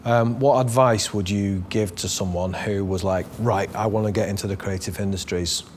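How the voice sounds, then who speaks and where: A man interviewing a colleague, asking questions in a relaxed way and pausing to search for words. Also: fairly low pitch, a little hiss or hum. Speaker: interviewer, executive director. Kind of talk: interview questions, casual talk.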